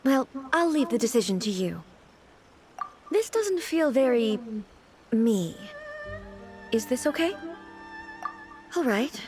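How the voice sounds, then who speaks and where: A woman playing a dignified, earnest, naively puzzled ruler in a video game, speaking in a formal, deliberate way. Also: a little high, sing-song, darkish tone. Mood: self-conscious. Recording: music playing behind the voice.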